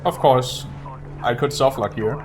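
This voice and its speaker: A man's voice: medium pitch, fairly even intonation, unhurried, background noise. A man giving casual live gameplay commentary as a speedrunner, muttering and thinking aloud. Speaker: speedrunner streaming a video game.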